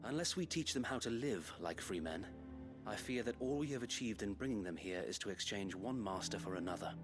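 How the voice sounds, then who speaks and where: An actor playing a dutiful, courteous but burdened guard captain in a fantasy video game, formal and articulate with an English accent. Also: male voice, on the low side, expressive, a little fast, lightly acted. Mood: thoughtful, regretful. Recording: music behind the voice.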